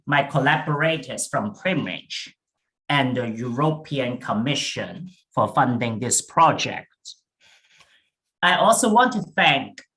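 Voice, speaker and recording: A British man giving a prepared science talk as an invited researcher over a video call, measured and deliberate. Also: medium pitch, a bit bright, rough recording.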